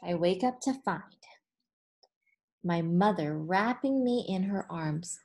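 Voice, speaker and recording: A woman in her thirties reading a children's story aloud like a teacher, deliberate and expressive, pausing between phrases. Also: lowish voice. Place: small room in front of a whiteboard, indoors.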